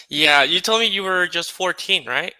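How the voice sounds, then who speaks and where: A man from California, an English tutor chatting on a video call in a relaxed, friendly way with many pauses and fillers. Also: a little high, no room sound, low-quality recording. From California, United States. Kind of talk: casual video-call conversation.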